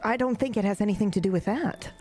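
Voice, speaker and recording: A woman's voice: medium pitch, fast talker, darkish tone, faint music behind, natural voice. A woman putting on a chirpy, curious young woman who stammers out questions.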